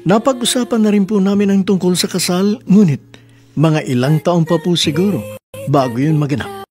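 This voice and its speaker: A male radio host delivers scripted narration for a love story. His voice is somewhat high for a man, with a solemn, measured and sentimental delivery. Music runs beneath him. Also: darkish tone. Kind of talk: scripted letter reading and moral advice.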